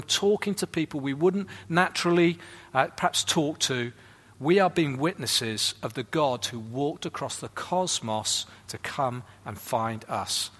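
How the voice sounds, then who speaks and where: A man's voice: on the high side, fairly bright tone, long reverb tail. A man preaching a sermon in an earnest, urging way, asking the listeners many questions. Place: church hall.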